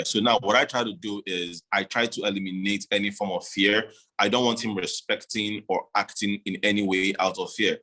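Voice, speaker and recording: Man, interview guest, very low voice, thoughtful, unhurried. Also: a bit bright, rough recording. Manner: measured, reflective, frequent "you know". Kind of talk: casual interview.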